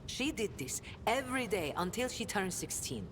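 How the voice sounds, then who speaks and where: An actress playing a hardened, defiant lowborn smuggler and ex-soldier in a video game, blunt and sardonic. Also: female voice, big pitch swings, moderate pace, close to an everyday voice. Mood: bitter, mocking. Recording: long reverb, loud rumbling noise behind.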